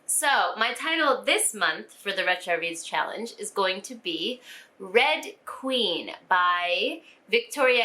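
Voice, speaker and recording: A woman's voice: medium pitch, bright, forceful tone. A woman vlogger chatting casually and informally to viewers about books, laughing easily as she talks. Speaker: book vlogger.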